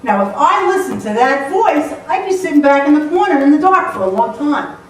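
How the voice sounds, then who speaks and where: Woman, high bright voice, storyteller on stage, picked up from far off in an echoey hall. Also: brisk. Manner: lively, playful, often laughing. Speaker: motivational speaker. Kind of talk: humorous storytelling talk to a live audience. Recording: echoey hall, mic far off, faint noise.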